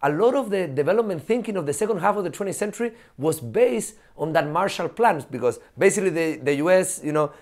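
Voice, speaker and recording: A man, a professor guest in a casual podcast conversation, talking in an animated way with laughs, fillers and swearing. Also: sits high, fast, hardly any pauses. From Chile.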